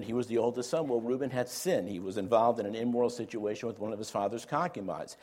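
A male preacher delivering a sermon in an earnest, conversational way, sprinkling in small jokes. His voice sits in an ordinary middle pitch, and he often pauses and restarts his phrases as he builds his points. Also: a little mellow. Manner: earnest, with light humor and frequent fillers and restarts.

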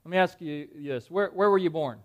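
A man's voice: fairly high pitch, fairly quick, highs cut off. A man preaching an interactive sermon to a live audience in a dim room, talking conversationally, quizzing listeners and often repeating words. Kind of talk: interactive Bible-study sermon.

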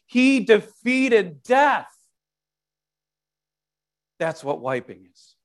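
A man preaching an Easter sermon as pastor, animated, moving between loud proclamation and quiet, self-deprecating conversational asides. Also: fairly high pitch. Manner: animated preaching with wide swings in loudness.

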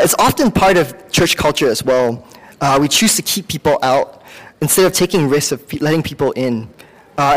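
Male preacher, Singaporean accent, slightly high pitch, informal and halting sermon delivery, recorded with long hall reverb. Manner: conversational, halting, with self-corrections. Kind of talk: sermon with personal anecdotes.

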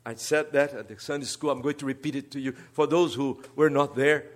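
A man's voice: medium pitch, steady tone, fairly quick. Brazilian-accented man preaching a sermon. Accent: Brazilian Portuguese. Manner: earnest, swings between soft asides and emphatic rises. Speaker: guest preacher, seminary teacher.

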